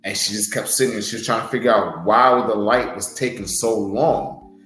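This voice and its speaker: A man's voice: on the low side, moderate pace, a bit bright, echoey room, soft background music, rough recording. A man who wrestles, co-hosting a podcast and telling a motivational story in an earnest, heartfelt way. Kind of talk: casual podcast chat.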